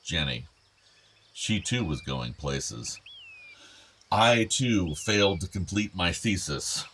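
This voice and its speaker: A man's voice: low-pitched, lively intonation, takes it slowly, a bit bright, a little hiss or hum, exaggerated voice. An actor playing an older, meddling, wistful father in a naturalistic radio play, with bookish, formal phrasing. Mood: wistful, pleading. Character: older father, wistful, meddling, urging his son to marry.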